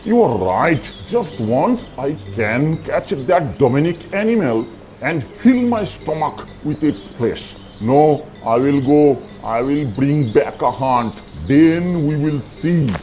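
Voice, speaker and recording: A man's voice: a little high, very animated, slow pace, dark tone. A man voicing a greedy, easily outraged tiger uncle in a children's cartoon, theatrical and blustering. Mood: indignant, determined. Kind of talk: children's cartoon character dialogue. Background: music behind the voice.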